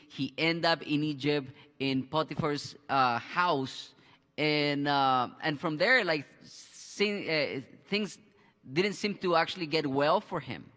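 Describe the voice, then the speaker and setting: A man in his thirties hosting a church Bible discussion on a headset mic, halting with restarts and fillers. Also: fairly high pitch, pushed and bright, long reverb tail. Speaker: pastor and host. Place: church studio with a screen behind.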